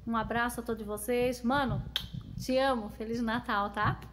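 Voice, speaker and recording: Woman, flat fairly high voice, warm blessing in a noisy home video. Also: moderate pace. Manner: affectionate, blessing the listeners. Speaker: sister sending a video greeting. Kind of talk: recorded greeting message. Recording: noisy recorded video message.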